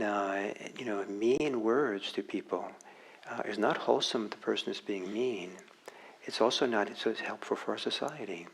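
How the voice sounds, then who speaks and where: A man giving a dharma talk as a meditation teacher, speaking reflectively with frequent pauses and restarts. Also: lowish voice, slight background noise, rough recording. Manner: halting, reflective, many restarts. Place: meditation hall.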